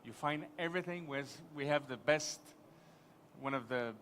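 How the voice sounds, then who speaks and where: A man's voice: medium pitch, moderate pace, fairly bright tone, a little hiss or hum. A man from Quebec with a French-Canadian accent, a native French speaker, speaking as a panelist at a live conference and rambling enthusiastically with many restarts.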